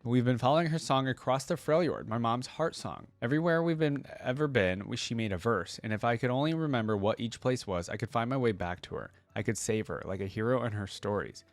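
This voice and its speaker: A man's voice: medium pitch, fairly quick, few pauses, dry recording, lightly acted. Man reading as eager young boy adventurer. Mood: wistful hope. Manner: eager, dramatic first-person storytelling. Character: earnest, excitable young boy adventurer telling his tale.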